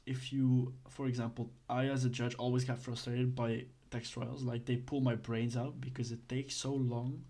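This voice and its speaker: A man's voice: medium pitch, little pitch movement, normal speed, few pauses, mellow. A man from Belgium, giving a casual, hesitant lesson full of fillers and restarts. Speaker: instructor.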